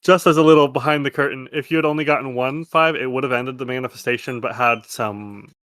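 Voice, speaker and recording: A man's voice: medium pitch, quick pace, fairly bright tone, dry recording. A man acting as game master, narrating casually and explaining the rules in informal asides. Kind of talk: improvised narration of a tabletop game, casual table talk. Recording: music under the voice. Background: background music.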